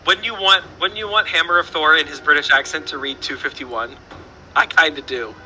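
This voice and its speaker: Bright-voiced Southern man hosting trivia. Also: fairly high pitch, brisk, slight background noise, poor audio. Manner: rambling, playful, lots of fillers and restarts. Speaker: trivia host. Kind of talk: casual online trivia show.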